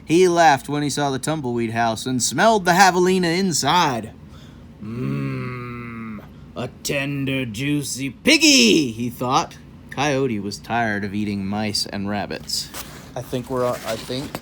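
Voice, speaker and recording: A man's voice: mid-range voice, moderate pace, a bit bright, slight background noise. A man reading a children's story aloud in a folksy, rambling way, breaking off for casual, jokey asides. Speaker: host of a children's read-aloud show.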